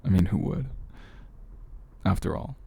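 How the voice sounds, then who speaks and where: A man performs a confident, flirtatious big brother in an intimate ASMR roleplay. His voice is deep and dark with a crackly fry. He talks in a teasing, familiar way, like someone close by. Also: animated, heavily acted. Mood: flirtatious, reassuring.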